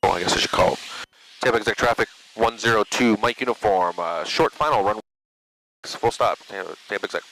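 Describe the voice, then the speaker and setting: A man's voice: lowish voice, a little fast, pushed and bright. A man working the radios as a pilot in a small plane, mixing easygoing, encouraging banter with radio calls over a headset. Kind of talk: casual cockpit chat and radio calls. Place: small plane cockpit in flight. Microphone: aviation headset intercom. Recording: noisy small-plane cockpit audio.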